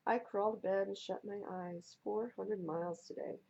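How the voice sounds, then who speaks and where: A woman reads a children's picture book aloud with playful, theatrical emphasis. Her voice is husky and mellow with some creak in it. The recording is slightly dull and lo-fi. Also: mid-range voice, steady tone, normal speed. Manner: playful, theatrical, stresses and repeats words for young listeners. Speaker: library storytime reader. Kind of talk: expressive read-aloud of children's picture books.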